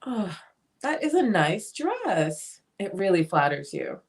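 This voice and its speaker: A woman's voice: mid-range voice, big pitch swings, slow pace. A woman playing a blunt, free-spirited, opinionated sister in a dramatic play reading. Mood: breezy, pointed. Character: blunt, free-spirited sister pushing self-liberation on her sibling.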